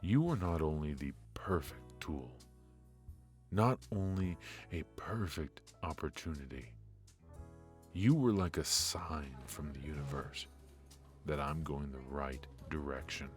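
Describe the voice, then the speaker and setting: A man acts out a ruthless mafia boss in a noir audio drama, his voice sitting extremely low. He speaks slowly and confidingly, weighted with weariness and long pauses, over clear background music. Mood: resolute, reflective. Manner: measured, weary, confiding, with heavy pauses. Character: brooding, ruthless male mafia boss with a hidden purpose. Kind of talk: intimate ASMR roleplay, noir audio drama.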